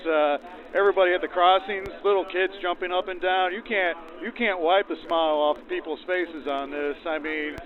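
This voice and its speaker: Male interviewee, slightly high voice, hesitant and folksy, heard through narrow vintage radio band. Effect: 1940s radio filter. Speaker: railroad volunteer crew member. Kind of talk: casual interview answers.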